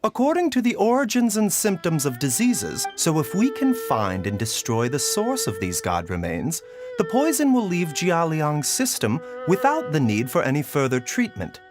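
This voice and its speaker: Casting: composed, teasing physician, gentle and formal with expressive melody, video game, clearly audible background music. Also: male voice, a little high, moderate pace. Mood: pensive. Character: soft-spoken, sly, scholarly male healer, courteous and composed. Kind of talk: video game character acting.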